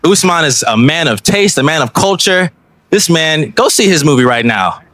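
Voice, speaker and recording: Hyped slangy host outdoors. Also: male voice, a little high, sing-song, moderate pace, a bit bright. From Los Angeles. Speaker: podcast host interviewing filmmakers. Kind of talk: casual on-location interview. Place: outdoors at a film festival, sirens nearby.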